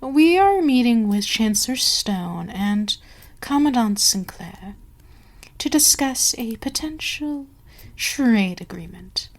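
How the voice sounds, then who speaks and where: A woman playing a commanding vampire queen in an ASMR audio roleplay, speaking in a measured, formal way with soft whispery lapses. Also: a little high, animated. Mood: poised, businesslike. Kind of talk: slow, breathy ASMR roleplay monologue.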